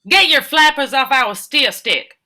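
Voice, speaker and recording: Scrappy cartoon rat mama female actor, high, piercingly bright voice, slangy and scornful. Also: animated, measured pace, heavily acted. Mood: scornful taunting. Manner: rough, slangy, taunting. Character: scrappy, sneering mother rat alien pirate. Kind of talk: animated comedy table read.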